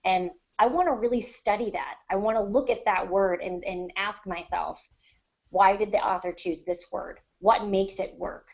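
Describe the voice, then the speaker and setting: A woman's voice: mid-range voice, moderate pace, darkish tone, dull audio. A woman on a podcast panel talking casually and reflectively about her craft, explaining things at length. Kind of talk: casual discussion of writing craft.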